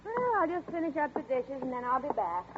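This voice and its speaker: An actress playing a chatty, teasing young wife of a detective, in 1940s radio style. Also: female voice, sits high, sing-song. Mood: homey. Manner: brisk, bantering. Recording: tinny phone line in scratchy old radio broadcast.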